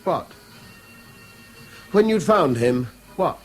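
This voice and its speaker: A man's voice: on the high side, big pitch swings, extremely slow, faint music behind, heavily acted. A British actor in a 1970s radio drama playing a guarded, conscientious man with a polite, hesitant manner. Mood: sober, wary.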